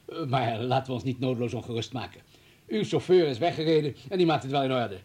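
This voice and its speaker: An actor in a radio play playing a fussy, deferential hospital administrator who talks in a wordy, flustered, obliging way. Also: male voice, mid-range voice, fast talker, a little mellow, slightly rough, natural voice. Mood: placating. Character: fussy, deferential male hospital administrator, eager to justify himself.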